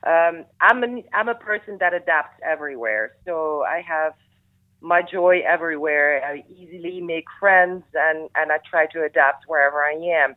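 A middle-aged woman from Belgium who speaks with a Flemish accent. She chats as a radio guest and often pauses on "uh" and "um". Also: deep voice. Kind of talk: casual interview chat. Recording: tinny phone line.

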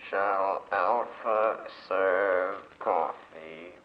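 Very slow, deep robot servant. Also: male voice. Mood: flat, obedient. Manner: halting, toneless, speaks of itself in third person. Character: hulking obedient robot servant built of aluminum. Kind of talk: 1940s radio drama acting. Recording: muffled noisy old radio recording with clicks.

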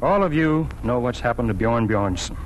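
A man's voice: mid-range voice, animated, normal speed, natural voice. A man acting an earnest small-town newspaper editor in a 1940s radio drama. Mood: earnest, resigned. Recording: noisy 1940s radio broadcast recording.

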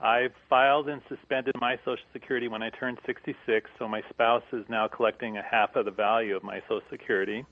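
Gravelly retired man on a tinny phone line. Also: mid-range voice, fairly even intonation. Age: 60s. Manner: halting, with fillers. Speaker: caller. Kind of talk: phone-in question.

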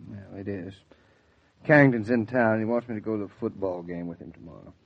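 An actor playing a patient, affable young husband with an American accent in a 1940s radio drama. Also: male voice, on the low side, a little fast. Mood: apologetic. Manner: explanatory, hesitant, conversational. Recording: dull, lo-fi 1940s radio recording.

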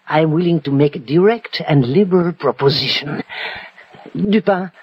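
An actor playing an anxious, deferential French police prefect with a fretful, formal manner in an old radio drama. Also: male voice, on the high side, slightly rough. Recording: dull, noisy vintage radio recording.

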